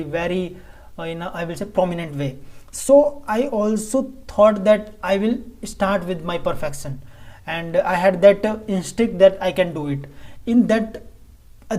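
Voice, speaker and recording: A man with an Indian English accent talks casually to camera in a vlog. He is a native Hindi speaker, and his delivery is halting, with frequent stumbles and restarted phrases. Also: high voice, a little mellow. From Delhi, India. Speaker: vlogger.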